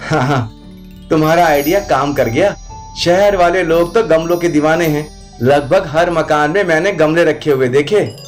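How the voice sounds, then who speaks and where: Man as a high-voiced hardworking village husband. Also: moderate pace, faint music behind, natural voice. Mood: pleased. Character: hardworking village tailor turned plant seller, a husband.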